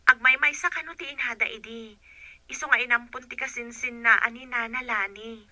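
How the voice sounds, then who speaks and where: A woman's voice: fairly high pitch, fairly even intonation, brisk, pushed and bright, no room sound. A woman playing a respectful, earnest young daughter in an Ilocano radio drama. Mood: questioning.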